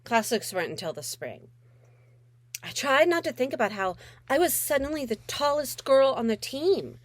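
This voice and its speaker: A woman's voice: sits high, big pitch swings, moderate pace, no echo. A woman author reading her middle grade novel aloud in a wry first-person voice with dramatic emphasis.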